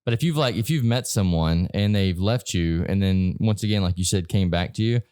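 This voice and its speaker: A man's voice: low voice, a little mellow, clear, resonant tone, dry recording, clean studio sound. A young man hosting a casual podcast chat in a bar, blunt and often profane. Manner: blunt, profane, rapid talk. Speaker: podcast host.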